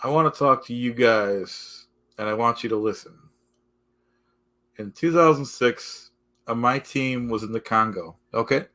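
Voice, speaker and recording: A man talks to his viewers on a live stream in a voice going hoarse. He speaks in halting runs, restarting phrases and tagging nearly every sentence with "folks" or "okay". His pitch sits at an ordinary middle level. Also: pushed and bright. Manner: repetitive, keeps saying "folks" and "okay". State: losing his voice. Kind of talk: casual live-stream monologue.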